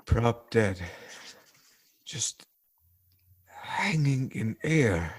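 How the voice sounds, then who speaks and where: A man playing an exasperated, stunned but commanding pilot in a 1940s-style radio drama, speaking in a halting, insistent way. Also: medium pitch, big pitch swings, husky, heavily acted. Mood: grim, subdued. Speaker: voice actor.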